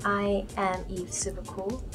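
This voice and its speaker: A woman's voice: medium pitch, level tone, measured pace, over music. A young woman from Thailand, a native Thai speaker, speaking hesitantly as a first-year student in a documentary interview. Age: young adult.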